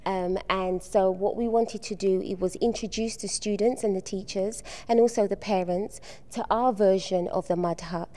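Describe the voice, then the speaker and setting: Soft, mellow-voiced woman with frequent ums. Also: medium pitch, steady tone, moderate pace, few pauses. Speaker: art teacher and exhibition organizer. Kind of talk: on-location TV interview. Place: indoors at a school art exhibition.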